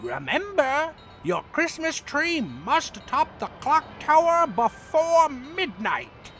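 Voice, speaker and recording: A man's voice: very high voice, sing-song, slow, fairly bright tone, soft background music, exaggerated voice. A man voicing a smug, needling cartoon rival in a comic dub, drawling mocking taunts. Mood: smug, goading.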